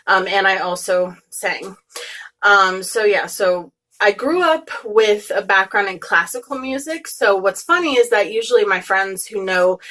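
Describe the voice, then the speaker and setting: A young American woman talks straight to her viewers in a loose, friendly vlog, with no regional accent. Her voice has a bright, clear edge, and she often trails into ums and restarts as she goes. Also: mid-range voice. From United States. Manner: chatty, rambling, many ums and restarts. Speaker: beauty vlogger. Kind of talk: casual direct-to-camera vlog chat.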